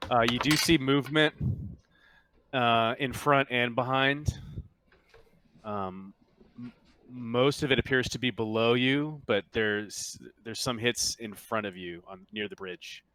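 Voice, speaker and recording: A man acting as game master, narrating and ruling casually, haltingly, often thinking aloud. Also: medium pitch, bright, forceful tone.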